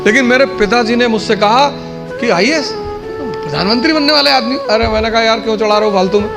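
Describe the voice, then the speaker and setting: A man's voice: extremely high pitch, a little fast, creaky. A man telling a personal anecdote informally, quoting bits of dialogue as he goes. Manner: informal, quotes dialogue as he recounts. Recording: music behind the voice. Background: music.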